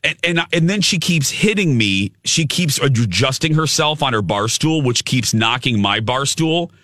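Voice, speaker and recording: A male radio host telling a story in casual chat, with a loud, big voice and a habit of cussing. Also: medium pitch, lively intonation, normal speed, dry recording, studio quality. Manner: swears casually.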